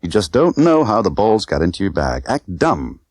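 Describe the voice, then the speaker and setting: Man voicing a tough, condescending woman, lively pitch. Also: normal speed, no echo, clean studio sound. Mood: brisk, commanding. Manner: clipped, bossy, slangy. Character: tough, condescending young American woman smuggler, brisk and guarded.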